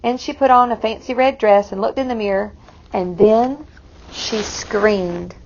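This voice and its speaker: A woman reading a picture book aloud to children, expressively, pausing to explain it in simple asides. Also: mid-range voice, highs cut off, a little hiss or hum. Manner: expressive, explains the story to young listeners. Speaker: read-aloud storyteller for children.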